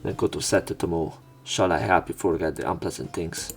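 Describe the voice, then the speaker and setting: A man reading visual novel text aloud as a let's play narrator, in measured short phrases. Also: low voice, fairly even intonation, gravelly. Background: game music.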